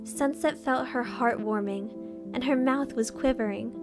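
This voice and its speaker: A woman's voice: a little high, unhurried, music in the background. A woman reading a fan fiction story aloud as narrator, in a scripted storytelling style.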